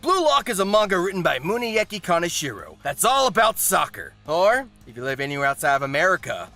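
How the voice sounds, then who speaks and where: A man hosting scripted comedic commentary in an irreverent, theatrical, sweary way with shouted emphasis. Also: high voice, sing-song, brisk, loud music behind the voice. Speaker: host and narrator of a comedic manga video.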